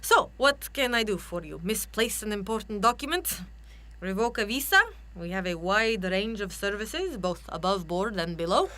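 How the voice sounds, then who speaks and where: A woman's voice: mid-range voice, animated, normal speed, bright, forceful tone. An actress playing a blunt, wry Soviet-born female official with a Russian accent in a radio drama. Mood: dry, businesslike. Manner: blunt, dry, clipped formal phrasing.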